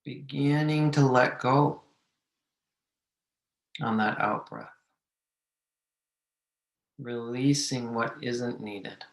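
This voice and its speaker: Slow-paced man guiding meditation in echoey room. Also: mid-range voice, creaky. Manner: measured, gently instructing with long pauses. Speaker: meditation guide. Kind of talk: guided meditation instruction.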